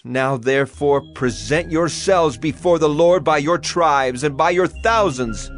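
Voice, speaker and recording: A man's voice: on the high side, measured pace, soft background music, natural voice. A man playing a stern, solemn prophet in a dramatized scripture story, declaiming weightily as if to a whole nation. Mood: stern, reproachful.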